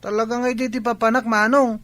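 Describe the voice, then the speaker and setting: Nosy filipino village kid, cheeky, high voice, radio comedy. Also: male voice, little pitch movement, fast, pushed and bright, no echo. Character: cheeky, inquisitive village boy visiting his grandparents. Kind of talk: comedy radio drama acting.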